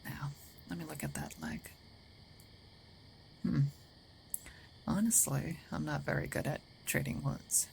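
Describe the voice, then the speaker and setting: A woman playing a gruff but caring, territorial werewolf in an intimate ASMR roleplay, hesitant and often dropping into breathy half-whispers. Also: low-pitched, big pitch swings, a little slow, pauses often, a little mellow, heavily acted, rough recording. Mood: matter-of-fact, tending. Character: gruff female werewolf, territorial yet caring, awkward protector.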